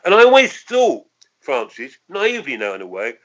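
A man's voice: fairly high pitch, huge pitch swings, moderate pace, lo-fi sound. A man in his sixties with a London accent, reminiscing in an interview in a reflective, hesitant way, often circling back to qualify what he says. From London, England. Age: 60s. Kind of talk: reminiscing in an oral history interview.

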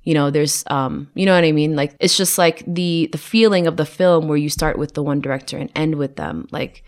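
A woman's voice: low-pitched, a little fast. A woman who grew up in the United States, a production designer chatting in an interview with many fillers, restarts and frequent laughs. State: tired. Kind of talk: casual interview conversation.

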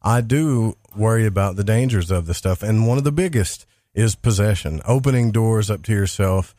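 A man hosting a talk podcast, chatting in a rambling, monotone way. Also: fairly low pitch, moderate pace, no echo, clean studio sound. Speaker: podcast host. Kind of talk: casual interview chat.

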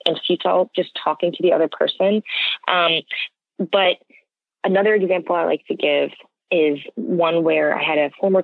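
American interviewee with creaky voice, phone line. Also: medium pitch, dry recording. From United States. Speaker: interview guest. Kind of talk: conversational interview answers. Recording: narrow, tinny phone-line sound.

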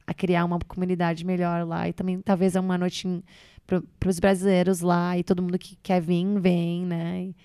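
A woman's voice: lowish voice, monotone, normal speed, warm tone, clean tone, dry recording, clean studio sound. A Brazilian woman, a stand-up comedian, chatting loosely in an interview with many fillers and English words mixed in. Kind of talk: casual interview chat.

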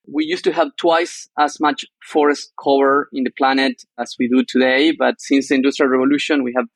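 A man's voice: mid-range voice, little pitch movement, fairly quick, gravelly, dry recording. A man from Argentina with an Argentine Spanish accent, explaining things as an interview guest. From northern Argentina. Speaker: startup co-founder, interview guest.

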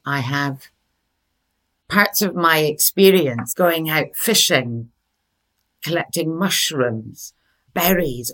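A woman's voice: sits low, fairly bright tone. A middle-aged woman from Scotland, a translator patiently explaining in an interview, often correcting herself. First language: Norwegian and English, bilingual. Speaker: guest, literary translator.

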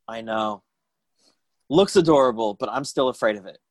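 A young man chatting casually on a remote call, joking and self-deprecating with quick asides. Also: medium pitch. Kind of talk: casual chat.

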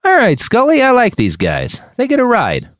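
A man's voice: extremely high pitch, huge pitch swings, moderate pace, a bit bright, dry recording, clean studio sound, voice pushed up, exaggerated voice. A man voicing a cheerful, laid-back, slangy posthuman woman sniper in an audiobook story. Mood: upbeat, approving. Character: cheerful lady posthuman sniper perched in a tree.

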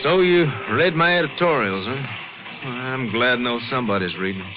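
An actor playing an outspoken, wry small-town newspaper editor in a 1950s western radio drama. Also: male voice, mid-range voice, lively intonation, brisk, noisy background, lightly acted. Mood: wry, weary. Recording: dull old 1950s radio recording.

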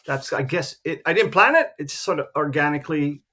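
A man in his fifties who grew up in the Philippines, a photographer talking about his career in a relaxed, rambling way with many fillers. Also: a little high, brisk. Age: 50s. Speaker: guest, professional photographer. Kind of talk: casual interview talk.

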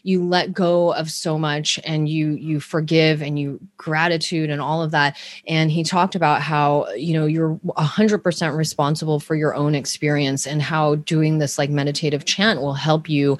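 A woman's voice: sits low, level tone, moderate pace, no echo. A woman from Hawaii co-hosting a podcast, chatting casually in a rambling way, often restarting and laughing. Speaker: podcast co-host. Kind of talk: casual chat.

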